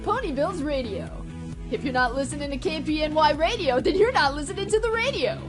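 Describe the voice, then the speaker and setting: A woman's voice: sits high, lively intonation, fairly quick, over music. A woman playing a cool, brash, casual pony DJ as a guest on a radio play talk show. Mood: upbeat, cool. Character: cool, laid-back, brash female pony DJ.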